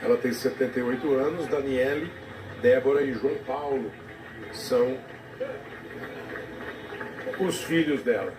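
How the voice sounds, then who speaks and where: A male TV commentator reflecting on a live broadcast, talking haltingly with many restarts. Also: mid-range voice. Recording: TV audio recorded off the air from a distance in an echoey room, noisy.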